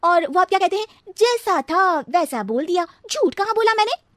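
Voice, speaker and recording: Woman as a very high-pitched, exasperated young bride. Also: lively intonation, moderate pace, fairly bright tone, dry recording, studio quality. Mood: sly retort. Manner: emphatic, incredulous questioning. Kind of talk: animated cartoon dialogue.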